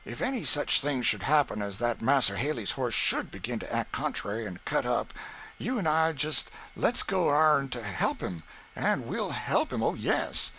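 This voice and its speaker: Man putting on a sly young stable guy, broad rural drawl, bright, keeps exclaiming. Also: mid-range voice, sing-song, measured pace, a little hiss or hum, voice pushed up, full character voice. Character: sly cheerful young male stable hand, playful schemer. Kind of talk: audiobook character voice.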